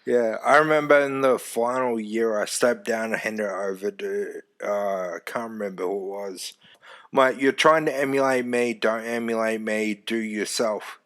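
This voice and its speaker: A man with a deep, loud voice, telling animated stories in casual chat and swearing casually. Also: normal speed. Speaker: former youth music event host.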